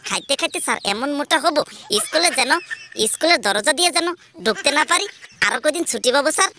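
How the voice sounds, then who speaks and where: A woman's voice: high voice, animated, fast talker, bright, forceful tone, a little hiss or hum, voice pushed up. A woman voicing a greedy, chatty cartoon schoolboy who rattles off long lists. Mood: eager.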